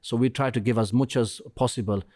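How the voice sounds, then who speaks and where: A middle-aged man being interviewed on a podcast as a restaurant co-founder. He speaks earnestly and haltingly and says "you know" often. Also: medium pitch, fairly even intonation, a little mellow.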